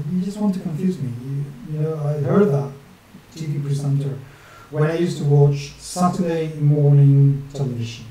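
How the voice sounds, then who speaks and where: A man's voice: medium pitch, mellow. A man in his forties with a non-native English accent showing some northern English influence, chatting and joking to himself while he draws a map on screen at his desk at home. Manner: chatty, talks to himself, jokey asides. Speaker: map illustrator and channel host. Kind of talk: rambling chat while drawing on screen. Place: small home room at a desk with a webcam. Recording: very echoey room, distant mic, faint hiss.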